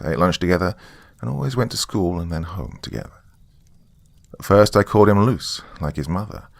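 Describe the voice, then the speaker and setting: A man's voice: deep voice, gravelly, no room sound. A man narrating a story in the first person.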